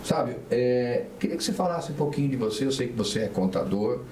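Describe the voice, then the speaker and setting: A man's voice: mid-range voice, lively intonation, fast talker, dark tone. A man hosting a casual podcast interview, chatty and leaning on tag questions, asking his guests about themselves. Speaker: podcast host. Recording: echoey room, mic at a distance, faint noise.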